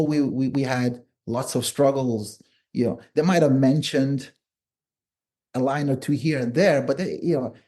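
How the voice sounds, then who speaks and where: A man's voice: medium pitch, warm tone. A middle-aged man speaking reflectively in an interview, thoughtfully repeating and rephrasing as he goes. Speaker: podcast guest, author and psychiatrist. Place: remote online call.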